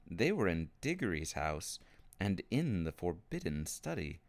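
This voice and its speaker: A man reading children's fiction aloud as an expressive scripted narration. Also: sits low, a little slow, no room sound. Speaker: storybook reader.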